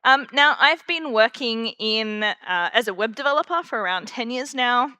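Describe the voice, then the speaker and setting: A woman's voice: fairly high pitch, normal speed, sharp tone, smooth, dry recording. A woman from Western Australia with an Australian accent, giving a chatty conference talk full of fillers. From Perth, Western Australia. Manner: chatty, self-deprecating, many fillers. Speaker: conference speaker. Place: conference room.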